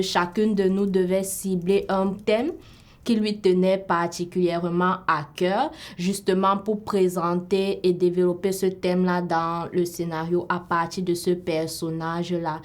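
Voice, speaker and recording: A woman's voice: lowish voice, little pitch movement, unhurried. A woman speaking candidly and reflectively about writing a script, in a documentary interview.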